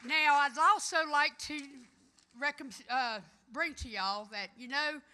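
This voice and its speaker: A middle-aged woman from the American South speaks in a high, forceful voice with a Southern accent. She addresses a conference as a union tradeswoman, loud and emphatic, with a folksy, rallying delivery. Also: a little slow. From American South, Florida. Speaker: union electrician and trades council president giving a speech. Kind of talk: rousing conference speech. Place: conference hall, addressing an audience.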